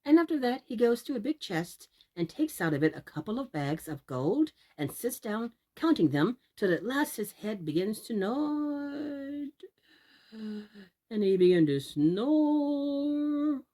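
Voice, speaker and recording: A woman storyteller reading for children at a slow pace. Her pitch swoops widely, with deliberate, drawn-out emphasis on key words. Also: big pitch swings, dark tone. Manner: deliberate, drawn-out emphasis, addressing young listeners. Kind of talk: expressive read-aloud fairy tale narration.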